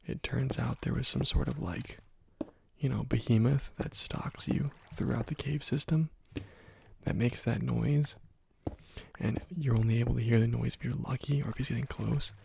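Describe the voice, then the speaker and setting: A man giving soft-spoken ASMR gaming commentary in a hushed, breathy, partly whispered voice, casual and musing, close to the microphone. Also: mid-range voice, slight background noise. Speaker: gaming video host.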